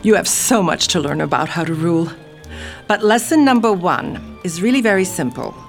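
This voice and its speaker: A woman's voice: fairly low pitch, lively intonation, moderate pace, gravelly, soft background music. A woman playing a vain, breezy queen mother in an audio drama, haughty and theatrical, with airy dismissiveness. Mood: breezy, dismissive.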